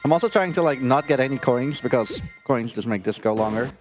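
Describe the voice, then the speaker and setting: A man's voice: medium pitch, lively intonation, brisk. A man giving casual live commentary as a speedrunner, with wry, hesitant asides. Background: video game music.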